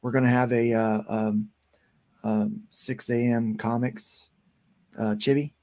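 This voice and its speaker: A gravelly man in his forties talks about his comic project in a loose, rambling way. He pads his sentences with plenty of fillers as a relaxed interview guest. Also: mid-range voice, takes it slowly. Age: 40s. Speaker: comic book writer and guest. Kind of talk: casual interview chat.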